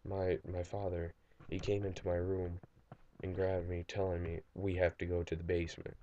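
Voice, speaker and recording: A young man playing a traumatized survivor recording an audio log, halting and distraught, stammering and repeating himself in an amateur audio drama. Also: low voice, completely flat delivery, dark tone, muffled recording, slight background noise, close to an everyday voice. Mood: shaken, rattled.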